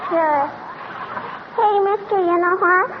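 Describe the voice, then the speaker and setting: A woman's voice: very high-pitched, takes it slowly. A woman acting a pesky, chattering little girl who repeats herself, in 1930s radio comedy. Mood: chatty, evasive. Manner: repeats herself, evasive hmms and sures. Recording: dull, very noisy old radio recording.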